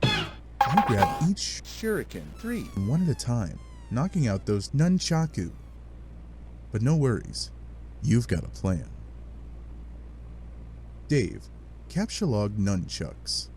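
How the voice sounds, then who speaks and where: A man giving animated, sardonic narration of a comic adventure, with playful dramatic asides. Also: mid-range voice, slow pace, long pauses, slight background noise. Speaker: narrator of a fan dub read-along.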